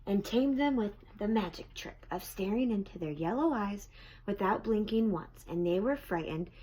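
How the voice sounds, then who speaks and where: Woman doing an expressive read-aloud of a kids picture book, medium pitch. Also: normal speed, slight background noise, poor audio. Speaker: storybook reader.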